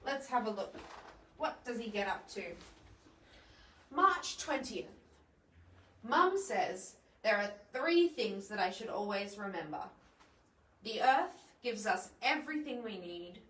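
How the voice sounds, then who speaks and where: A woman reading a children's picture book aloud in a playful, expressive way, adding chatty asides for young listeners. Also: medium pitch, slow pace, long pauses. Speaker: library storytime presenter. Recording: echoey room, distant microphone.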